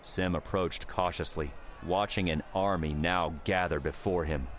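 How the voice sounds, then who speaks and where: A man's voice: deep voice, fairly even intonation, a little slow, slight background noise. A man reading dramatic fiction as a scripted audiobook narration.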